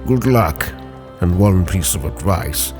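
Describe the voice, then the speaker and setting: A man puts on a furtive bookish informant in a narrated game tale, grave and courteous. He confides in a hushed, low, formal voice while music plays behind. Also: animated, slow pace. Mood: hushed, confiding. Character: furtive, courteous male manuscript-keeping informant, gravely cryptic.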